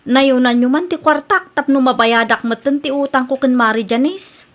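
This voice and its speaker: A woman's voice: high voice, normal speed, close to an everyday voice. A woman with an Ilocano accent playing an evasive younger woman short of money, wheedling in a comedy radio drama. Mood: pleading.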